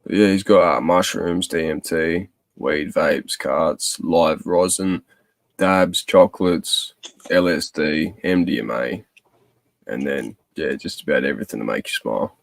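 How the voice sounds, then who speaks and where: A man's voice: very deep voice, measured pace, vocal fry, dry recording. A man chatting casually, blokey, swearing and saying bro constantly. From Australia. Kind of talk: casual chat.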